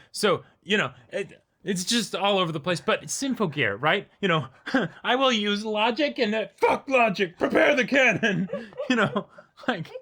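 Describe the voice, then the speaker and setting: A man co-hosting a reaction show, talking casually and emphatically in an animated way. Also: high-pitched. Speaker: co-host of an anime reaction show.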